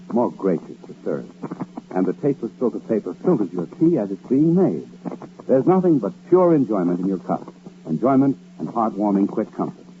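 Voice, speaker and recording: Male commercial announcer, medium pitch, emphatic and very varied, on a thin old radio recording. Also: narrow, tinny audio. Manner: emphatic, polished salesmanship. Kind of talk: 1940s radio advertisement. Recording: thin, noisy, humming vintage radio recording.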